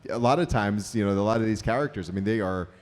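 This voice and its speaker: A man's voice: lowish voice, quick pace, darkish tone. A man hosting an onstage Q&A, asking upbeat, loosely worded questions. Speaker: show host, interviewer.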